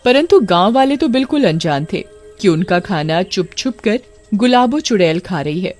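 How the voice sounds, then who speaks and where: Storybook narrator, even delivery, faint music behind. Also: medium pitch, normal speed. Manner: steady, matter-of-fact telling.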